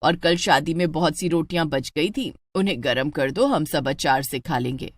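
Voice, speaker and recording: A woman's voice: low voice, normal speed, no room sound, voice pushed down. A woman voicing a stingy, fussy middle-aged mother-in-law in a story, bossy and coaxing. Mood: bossy, flustered.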